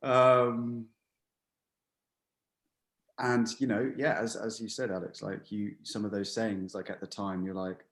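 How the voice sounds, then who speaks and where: Upbeat young man with a low voice. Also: unhurried, pauses often. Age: young adult. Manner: energetic, upbeat. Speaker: podcast host. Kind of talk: casual podcast chat.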